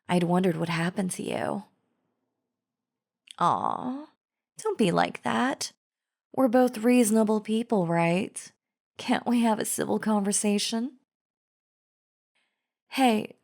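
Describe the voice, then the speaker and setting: A woman's voice: mid-range voice, vocal fry, a little mellow. A woman playing a chatty female zombie in an audio roleplay, casually coaxing the listener with false friendliness and a sly, wheedling drawl. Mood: chummy, reasoning. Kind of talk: scripted audio roleplay acting, addressing the listener.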